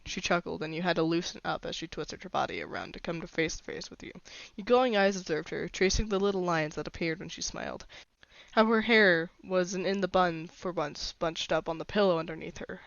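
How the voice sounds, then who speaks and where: A woman's voice: fairly low pitch, vocal fry, no echo. A woman reading a fan fiction story aloud as scripted narration, on a slightly dull recording.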